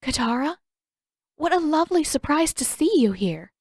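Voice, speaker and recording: Gracious young fantasy princess, sweet, courteous and gently formal, voiced by a woman narrator. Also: high-pitched, very animated, moderate pace, no echo, clean studio sound, pitched above the performer's own voice. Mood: bright, welcoming.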